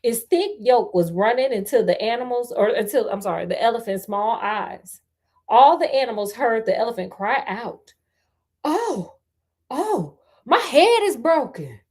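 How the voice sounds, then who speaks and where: Sassy American woman storyteller, higher voice. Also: normal speed, darkish tone. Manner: sassy, conversational asides, addresses listeners. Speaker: storyteller, host of a read-aloud show. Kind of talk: storybook read-aloud with casual running commentary.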